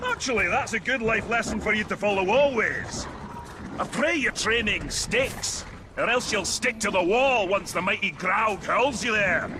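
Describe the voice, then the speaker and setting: An actor playing a blustering, boastful bearded dwarf hunter who trains others in a video game. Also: male voice, high voice, expressive, normal speed, background noise. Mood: hearty, challenging. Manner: blustering, hearty, boastful shouting. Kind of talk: video game character acting. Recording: loud music over the voice.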